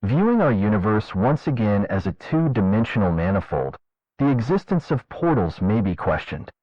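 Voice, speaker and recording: A man narrating a fictional scientific government report in a formal, measured, clinical manner. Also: lowish voice, big pitch swings, no room sound. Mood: formal, detached. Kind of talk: scripted documentary narration, analog horror. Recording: dull lo-fi narration track.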